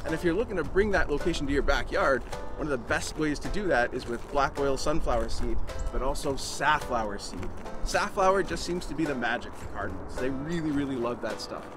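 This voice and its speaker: Male nature guide, thirties, mid-pitched and fast-talking, informal teaching over music. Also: animated. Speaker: conservation program manager, nature guide. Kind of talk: informal educational presentation to camera. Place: outdoors in snowy woods.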